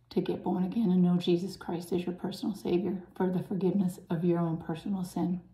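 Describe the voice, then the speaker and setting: A woman with a raspy, somewhat low and mellow voice preaches to her viewers with earnest urgency. She often sinks into a soft, breathy hush. The recording rings with the echo of the room. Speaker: channel host preaching.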